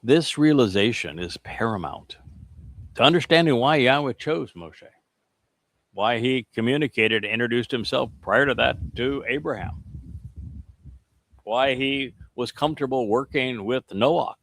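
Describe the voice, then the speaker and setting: A man's voice: medium pitch, a little slow, fairly bright tone. A man teaching scripture in an earnest, emphatic cadence, drifting into rambling, chuckling asides to the people with him. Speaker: religious teacher.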